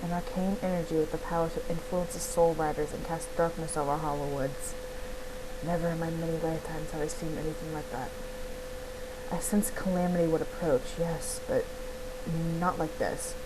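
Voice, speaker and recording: An ancient, wise fantasy-game guardian as played by a woman, grave and formal. The voice is measured and on the low side, with clear noise in the recording. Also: fairly even intonation, natural voice. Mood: troubled, pondering.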